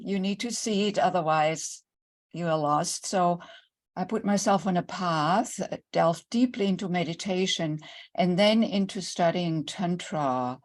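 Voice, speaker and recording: An older woman from Germany with a German accent, a guest speaking earnestly and reflectively about her own story in an online interview. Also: medium pitch. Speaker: guest, intimacy coach.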